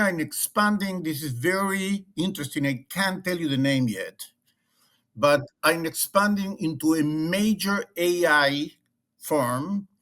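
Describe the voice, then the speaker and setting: This is a middle-aged man from Argentina whose first language is Spanish, and his Argentine accent colors every line. He speaks as a guest in a remote interview with a fairly high voice, filling pauses with "eh" and "then" and repeating words as he builds his point. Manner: animated, repeats words and restarts often, uses "eh" and "then". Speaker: guest, leadership consultant. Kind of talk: conversational interview.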